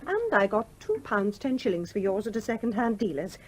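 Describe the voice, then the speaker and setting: A woman's voice: mid-range voice, big pitch swings, a little fast, a little mellow, breathy edge. An actress in a radio play playing a genteel, scrupulous mother who is polite but flustered and argumentative. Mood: defiant.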